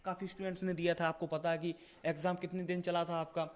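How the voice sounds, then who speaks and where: A man's voice: high voice, flat delivery, fast. A man presenting an exam-update video in an informal explainer style, repeating his points and answering his own questions.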